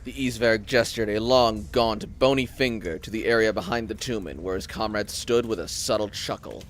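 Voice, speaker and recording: A man's voice: fairly low pitch, normal speed, a little hiss or hum. A man narrating scripted fiction as a dramatic storyteller.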